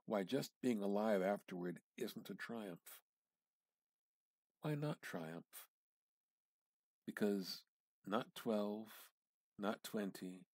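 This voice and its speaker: Husky, slow-paced man reading dramatically. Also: lowish voice, darkish tone, no room sound. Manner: theatrical, halting phrases with pauses and emphatic repetition. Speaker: amateur reader. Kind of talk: dramatic reading of a literary short story.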